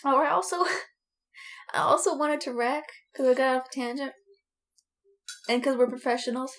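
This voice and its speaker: A woman's voice: sits high, moderate pace. Enthusiastic woman in casual podcast chat. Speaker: podcast co-host. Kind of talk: casual chat about stories and media.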